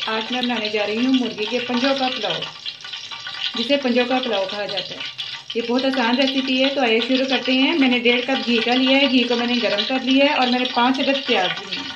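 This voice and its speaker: A woman hosting a home cooking channel, talking through each step of a recipe at the stove in an unhurried, repetitive way. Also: on the high side, fairly even intonation, muffled recording. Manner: unhurried, repeats each step as she works. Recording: echoey kitchen with sizzling noise. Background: frying and sizzling from the pot.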